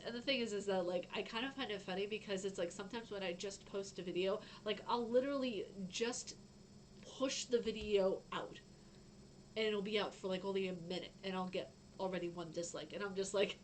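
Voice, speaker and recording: A young woman with a fairly low voice, chatting casually on a gaming news stream and reading out news, rambling with lots of fillers. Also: a little hiss or hum, low-quality recording. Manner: chatty, rambling, frequent fillers and self-interruptions. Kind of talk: casual live-stream chat.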